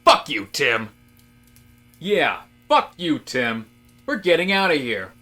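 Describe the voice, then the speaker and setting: A man playing a disaffected, rebellious office worker in a comic audio drama, with a halting, slangy, rambling delivery. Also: fairly high pitch, sing-song, bright, forceful tone, exaggerated voice. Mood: gleefully defiant.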